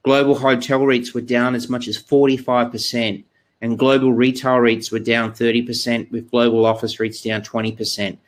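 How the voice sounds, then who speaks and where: Halting Australian-raised man on a webinar. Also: mid-range voice, flat delivery. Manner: halting, many fillers and restarts. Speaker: exchange research presenter. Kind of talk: webinar presentation. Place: indoor room, video call. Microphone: webcam video call.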